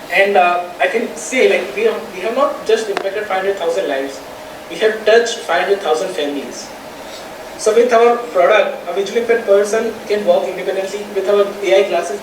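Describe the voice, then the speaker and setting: A panel speaker with an Indian accent giving a semi-formal presentation for a startup, speaking in a halting way and often repeating words. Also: medium pitch. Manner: halting, repetitive phrasing. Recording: distant echoey mic with clear background noise.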